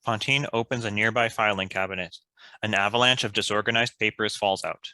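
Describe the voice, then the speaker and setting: A man's voice: lowish voice, steady tone, fairly quick, bright, forceful tone, dry recording, poor audio. A man reading stage directions as scripted narration for a screenplay.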